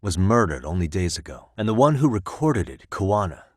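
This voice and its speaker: A man acting as a composed, sharp-witted defense attorney in a video game, speaking in measured, articulate, formal courtroom lines with a probing insistence. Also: sits low, sing-song, no room sound, studio quality. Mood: probing, confrontational. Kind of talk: scripted dramatic courtroom dialogue in a game.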